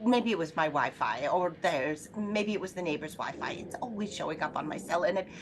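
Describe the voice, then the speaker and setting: Actress doing frantic bossy sickly wife in an old-style radio thriller, voice swooping all over, noisy background. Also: female voice, on the low side, lively intonation, brisk, natural voice. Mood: alarmed, flustered. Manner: agitated, demanding, melodramatic. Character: imperious, anxious invalid wife, increasingly frantic. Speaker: lead actress in an audio drama. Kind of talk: throwback radio thriller acting.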